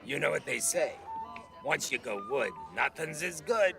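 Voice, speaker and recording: An actor playing a crude, world-weary ventriloquist dummy in a TV drama, with a gravelly voice and brash old-time tough-guy slang. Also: fairly high pitch, lively intonation, a little slow, over music. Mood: leering. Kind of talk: television drama acting.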